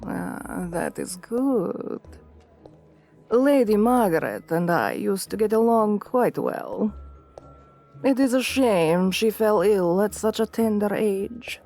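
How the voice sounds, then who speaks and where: A woman's voice: medium pitch, big pitch swings, slow, mellow, faint music behind, full character voice. A woman playing an assertive, seductive vampire queen in an ASMR roleplay, formal and courtly, coaxing the listener with veiled menace. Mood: gracious, probing.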